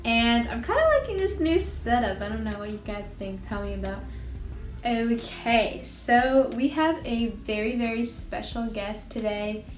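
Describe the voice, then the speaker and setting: A woman's voice: on the high side, a little slow. A young woman hosting a casual vlog, chatty and bubbly, talking at home. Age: young adult. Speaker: host. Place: home room. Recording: echoey room with faint music.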